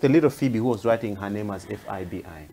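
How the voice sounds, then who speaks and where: A man's voice: fairly low pitch, fast talker, gravelly, mellow. A man in his thirties hosting a conversational TV interview with a playful, teasing manner. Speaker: TV interview host. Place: indoor sitting room. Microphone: lapel mic.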